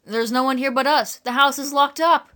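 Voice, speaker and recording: A woman voicing a bold, self-important English schoolboy who takes command briskly and confidently in a storybook reading. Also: sits high, steady tone, fairly bright tone, pitched above the performer's own voice.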